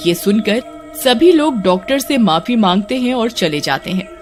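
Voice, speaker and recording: A woman's voice: a little high, animated, normal speed. A woman reading scripted story narration as a storyteller. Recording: music behind the narration.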